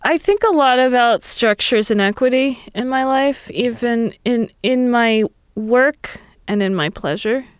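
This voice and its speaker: A woman's voice: fairly high pitch, a little slow, hardly any pauses, clear, resonant tone, no room sound. Woman in a dry studio interview. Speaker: radio host and producer, as interview guest. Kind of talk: conversational interview.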